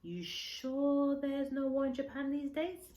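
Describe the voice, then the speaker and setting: A woman voicing a gentle elderly Japanese man from a children's storybook, speaking in short phrases of broken English. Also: sits high, lively intonation, a little slow, echoey room, voice pushed up. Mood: anxious, questioning.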